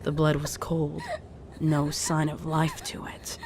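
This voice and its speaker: Low-voiced woman narrating a horror story. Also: monotone, takes it slowly, noisy background. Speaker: narrator of a horror fan fiction. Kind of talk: scripted dramatic story narration. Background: music under the voice.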